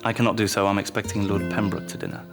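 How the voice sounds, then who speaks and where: A man acting a gallant period-drama gentleman in a fairly low voice. He is formal and courteous, wary but smitten, choosing his phrases carefully over clearly audible music. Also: level tone, fast, lightly acted. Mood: courteous, guarded.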